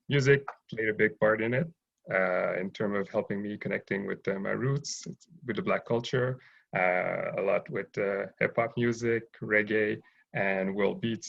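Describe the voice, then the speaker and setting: Gravelly low-voiced man, lo-fi call audio. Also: fairly bright tone. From Haiti, raised in Montreal. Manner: thoughtful, hesitant with many fillers. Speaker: guest, urban farm owner. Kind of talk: interview over video call.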